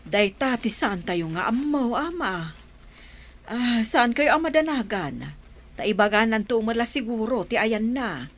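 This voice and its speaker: A woman's voice: fairly high pitch, animated, measured pace. A woman playing a concerned, respectful woman talking to an elder in an audio drama, with an Ilocano Filipino accent. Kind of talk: amateur audio drama acting.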